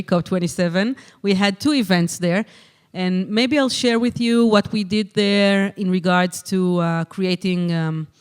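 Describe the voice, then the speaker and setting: Convener at a conference, smooth clear tone, mid pitch, measured delivery with frequent filler pauses, speaking into a handheld mic in a hall. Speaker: session convener. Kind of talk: conference moderation and answers.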